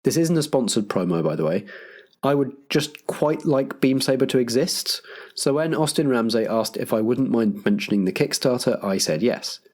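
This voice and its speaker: A man's voice: medium pitch, animated, moderate pace, a little mellow. A man acting as compere, delivering scripted programme notes as a podcast host.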